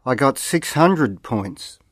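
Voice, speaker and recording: A man reading scripted model interview answers aloud in a slow, carefully enunciated way, like a language course recording. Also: mid-range voice, lively intonation, no echo.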